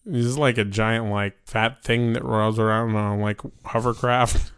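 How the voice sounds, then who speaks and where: A man's voice: lowish voice, steady tone, measured pace, fairly bright tone, smooth, dry recording, studio quality. A man casually guessing answers in a chat, hesitant and jokey, with many fillers. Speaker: guest.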